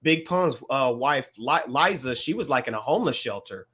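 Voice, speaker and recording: A man on a podcast panel casually recapping a story in a halting, careful way. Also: mid-range voice, animated, a bit bright, slightly rough.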